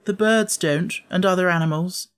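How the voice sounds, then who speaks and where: An imperious little invalid boy from a storybook acted by a male reader. He sounds frail and spoiled, with a petulant, deliberate delivery. The pitch sits raised. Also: fairly bright tone, clear, resonant tone. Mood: thoughtful, slow.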